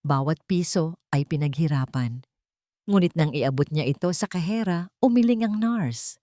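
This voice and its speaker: Low-pitched Filipino woman telling a dramatic story. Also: lively intonation, normal speed, warm tone, no room sound, studio quality. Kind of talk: dramatic scripted narration of a romance story.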